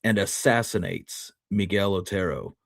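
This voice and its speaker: A man's voice: low-pitched, unhurried, vocal fry, a bit bright, no echo, clean studio sound. An American man chatting casually as a co-host, giving his opinions in colloquial language. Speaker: podcast co-host. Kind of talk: casual history chat.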